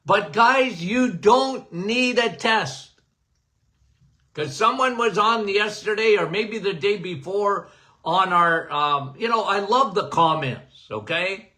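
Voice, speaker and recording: An older male doctor talks in a high, bright, ringing voice. He takes his time, pausing between phrases and checking in with "okay?" as he gives a casual, emphatic health chat. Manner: animated, emphatic, repeats "okay?"; says he has a loud voice. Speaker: podcast host, doctor.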